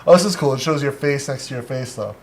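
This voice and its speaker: Casting: American male livestream host, casual and conversational, reflective and measured when explaining. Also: medium pitch. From United States.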